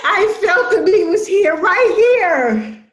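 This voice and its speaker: Woman acting grieving daughter, extremely high voice, slowish, insistent, resentful, emotional stage drama, roomy echo. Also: animated, exaggerated voice. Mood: shaken, insistent. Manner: insistent, emotional, drawn-out delivery. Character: bereaved, distraught adult daughter, insistent and resentful. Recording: echoey room.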